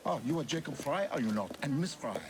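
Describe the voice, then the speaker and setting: An actor playing an earnest political activist, formal and insistent, in a game drama. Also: male voice, medium pitch, animated, brisk, background noise, natural voice. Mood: earnest, urgent. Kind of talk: dramatic game cutscene acting.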